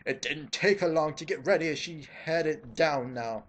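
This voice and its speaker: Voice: mid-range voice, normal speed. A reader giving a mock dramatic reading in a theatrical, exasperated way, breaking off for sarcastic asides. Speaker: reader of fan fiction.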